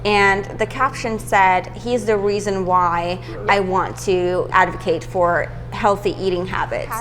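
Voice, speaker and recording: A woman's voice: mid-range voice, a bit bright, a little hiss or hum. A young woman hosting her channel, asking eager, friendly interview questions. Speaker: YouTube host and interviewer.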